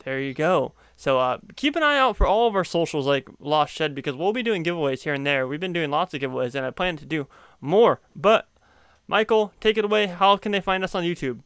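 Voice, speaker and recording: Young guy hosting a video call chat, kinda high voice, smooth and dry, laughs a lot. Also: animated, fairly quick, fairly bright tone, no echo. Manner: upbeat, laughs often. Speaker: podcast host. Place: home room, video call. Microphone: studio condenser mic on stand.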